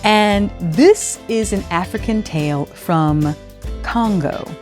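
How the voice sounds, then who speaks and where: A woman hosting a children's storytime, speaking in an expressive, teacherly way as she reads and explains. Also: mid-range voice, slow pace, faint music behind. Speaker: host of a children's read-aloud storytime show. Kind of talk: expressive storybook reading with scripted instructions.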